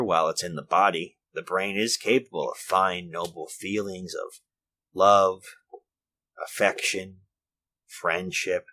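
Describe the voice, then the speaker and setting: Man acting a deep-voiced, anxious radio drama confessor. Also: sharp tone, full character voice. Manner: halting, rhetorical, theatrical. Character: anxious, morbidly argumentative man confessing to an unseen judge.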